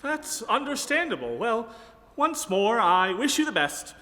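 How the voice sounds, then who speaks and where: A man's voice: extremely high pitch, big pitch swings, a little slow, pushed and bright, exaggerated voice. A man playing a plainspoken, good-hearted, hesitant farmhand in a comic radio play. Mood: doubtful, resigned. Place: live on stage in a ballroom. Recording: echoey live hall.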